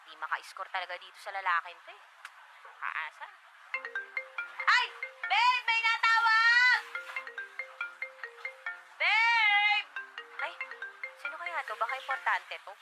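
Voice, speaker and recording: Teasing clingy girlfriend, high swoopy voice, tagalog radio drama, music behind her. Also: huge pitch swings, slow, pauses often, heavily acted, low-quality recording. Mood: impatient. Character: playful, needy, pampered girlfriend longing for intimacy.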